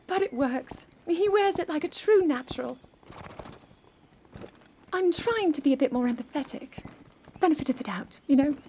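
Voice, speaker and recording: A young woman voicing an earnest young princess who is burdened by duty and doubts herself, speaking softly in a game cutscene. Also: sits high, lively intonation, normal speed, pauses often, a little hiss or hum. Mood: gentle. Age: young adult. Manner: soft, pleading, searching. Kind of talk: video game cutscene voice acting.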